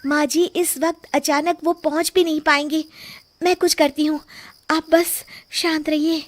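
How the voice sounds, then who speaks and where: A woman's voice: high voice, level tone, unhurried, very noisy, voice pushed up. A woman voicing a brave, caring young daughter-in-law in a cartoon, speaking in a respectful, reassuring manner.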